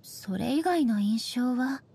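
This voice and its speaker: A dignified shogun goddess for a video game. Her voice is fairly high and breathy, with a soft edge. She speaks formally and with measured solemnity, stern yet sincere. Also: expressive, full character voice. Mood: prim, firm. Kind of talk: scripted game character voice lines.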